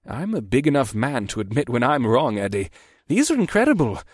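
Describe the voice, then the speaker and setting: A man's voice: mid-range voice, very animated, brisk, husky, no echo, studio quality. A man voicing a hearty one-eyed warrior general with formal, courteous phrasing in a fantasy audiobook. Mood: delighted, impressed. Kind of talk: audiobook character dialogue.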